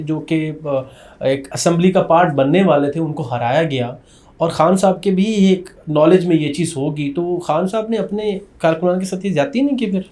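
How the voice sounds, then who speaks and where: High-pitched Pakistani man in an echoey room. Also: darkish tone. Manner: probing, long-winded questions. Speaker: interviewer. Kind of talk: political interview.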